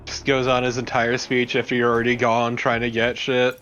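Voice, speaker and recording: A man's voice: mid-range voice, completely flat delivery, a little fast, a bit bright, lo-fi sound, faint music behind. A man co-commentating on a video game with casual, joking banter. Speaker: let's play co-commentator.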